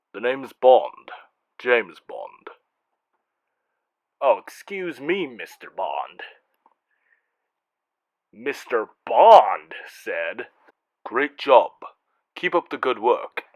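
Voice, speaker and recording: A man's voice: mid-range voice, no room sound, muffled recording. Wry male host with swooping, theatrical delivery. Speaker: host and narrator reading fan mail. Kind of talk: scripted comic hosting.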